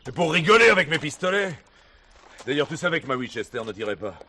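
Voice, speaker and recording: Brash, sharp-voiced cowboy. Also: male voice, fairly high pitch, very animated, fast, slight background noise. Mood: defensive. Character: brash, indignant weekend cowboy who loves play-acting. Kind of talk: French radio play acting.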